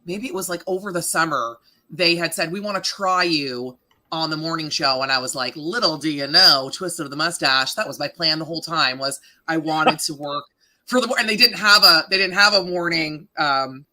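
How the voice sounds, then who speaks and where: A woman in her thirties from Canada with a deep voice, a radio morning host chatting casually in an interview, laughing often and filling with ums. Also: normal speed, pushed and bright. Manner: chatty, laughing, self-deprecating, many fillers.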